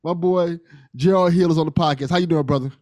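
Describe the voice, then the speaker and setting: A man's voice: fairly high pitch, fairly even intonation, fast talker, a little mellow, no room sound. An American man hosting a podcast in a casual, conversational style with a jokey, informal manner. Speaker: podcast host.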